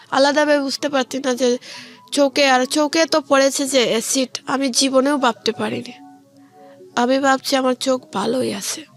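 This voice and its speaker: Female interview guest, Bangladeshi Bengali accent, high voice, halting colloquial storytelling, faint music underneath. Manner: colloquial, halting, repeats phrases.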